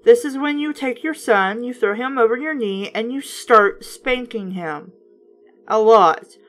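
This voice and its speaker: A woman's voice: a little high, unhurried, a bit bright, faint music behind. A woman voicing an exasperated, weak-willed father in a storybook reading, pleading and fed up. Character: exasperated, spineless father pleading with his family. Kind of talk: children's storybook narration.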